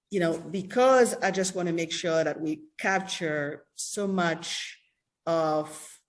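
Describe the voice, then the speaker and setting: A woman from Haiti moderating a panel conversation, speaking deliberately and with emphasis. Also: fairly low pitch.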